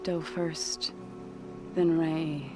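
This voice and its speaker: A woman playing an earnest, reverent envoy of a farming tribe in a video game, speaking in a measured, halting, solemn way. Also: low voice, flat delivery. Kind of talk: dramatic video game cutscene acting. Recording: loud music behind the voice. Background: music.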